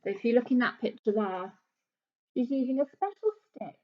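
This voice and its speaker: Female British primary teacher, voice on the high side, reading and explaining a picture book to young kids; slightly dull, lo-fi sound. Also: sing-song, moderate pace. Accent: British English. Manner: explanatory, speaking simply as to young children. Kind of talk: children's story reading with simple explanations.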